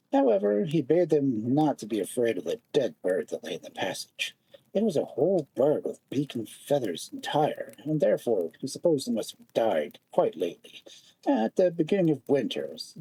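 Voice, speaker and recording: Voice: mid-range voice, warm tone, husky, rough recording. A storyteller narrating a fairy tale expressively, with dramatic, lingering phrasing.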